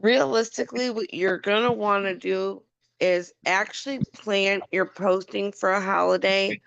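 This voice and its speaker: A hoarse woman on a group call casually giving planning advice, chatty with many ums. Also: mid-range voice, a bit bright, no room sound, lo-fi sound. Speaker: call participant.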